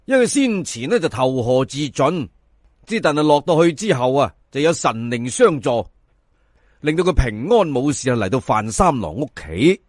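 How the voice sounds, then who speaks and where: Animated Cantonese male storyteller. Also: mid-range voice, dry recording, clean studio sound. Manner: theatrical, addresses listeners, drawn-out emphasis. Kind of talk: colloquial folk-tale narration.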